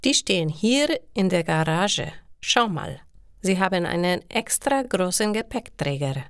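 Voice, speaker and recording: Helpful male pizza courier for an audio storybook played by a female narrator, patient, encouraging, very measured pace. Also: on the low side, sing-song, clean tone, dry recording, clean studio sound. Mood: helpful, instructive. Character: friendly, helpful young man, pizza delivery worker.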